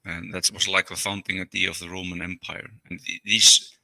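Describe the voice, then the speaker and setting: A man chiming in on a casual podcast discussion, halting and restarting his phrases. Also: sits low, level tone, creaky, pushed and bright, no echo, low-quality recording.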